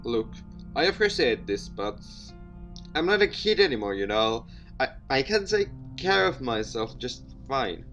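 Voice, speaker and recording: A troubled young man acted out by a male player speaks in visual novel dialogue. His delivery is halting, prickly and defensive, at a medium pitch with soft music behind. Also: sing-song.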